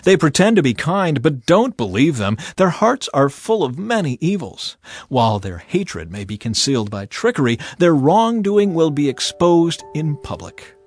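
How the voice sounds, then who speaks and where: Expressive, husky male scripture narrator over music. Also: fairly high pitch. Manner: theatrical, emphatic, deliberate delivery with expressive swells and drops. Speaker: Bible narrator. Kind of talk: dramatized scripture reading.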